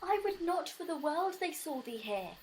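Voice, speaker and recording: A woman's voice: sits high, big pitch swings, moderate pace. A woman acting a young, lovestruck, earnest and anxious maiden in a Shakespearean audio drama, with theatrical verse delivery, recorded at home on a phone.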